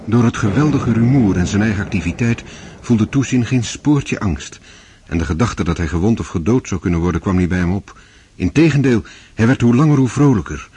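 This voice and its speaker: An actor narrating a war story in a radio drama as a grave storyteller. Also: male voice, fairly low pitch, expressive, fairly quick, dark tone, slight background noise, lightly acted. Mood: intense.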